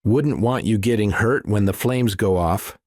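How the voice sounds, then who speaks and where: A man voicing a charming, smooth-talking rock guitarist in an audiobook thriller. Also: on the low side, measured pace, dry recording, clean studio sound. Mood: friendly, coaxing. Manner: friendly, laid-back charm.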